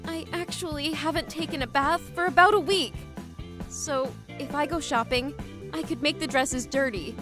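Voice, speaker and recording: A woman's voice: sits high, normal speed, close to an everyday voice. A woman voicing a frugal, frank young female student in a manga dub, with a sweet, polite and matter-of-fact way of talking. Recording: music behind the voice. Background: background music.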